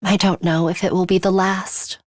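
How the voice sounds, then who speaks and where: A woman voicing a composed, battle-hardened young woman in an English video game, speaking in a deliberate, matter-of-fact way. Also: fairly low pitch, level tone, dry recording, clean studio sound, natural voice. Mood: quiet resolve. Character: young woman, composed battle-hardened time-looper, coolly urgent ally.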